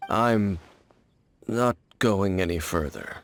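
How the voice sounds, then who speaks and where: Grave wandering swordsman for a fantasy game dub: lowish pitch, aloof, measured, formal, world-weary. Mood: cool, guarded. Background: game music.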